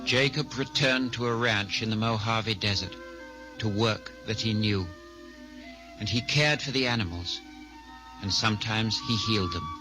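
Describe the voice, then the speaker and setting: A man narrating a scripted radio drama in a measured, solemn manner. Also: lowish voice, rough recording. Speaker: story narrator. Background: music.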